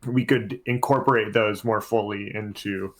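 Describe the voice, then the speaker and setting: A man's voice: on the low side, steady tone, moderate pace. A man chatting casually as a game master on a podcast panel.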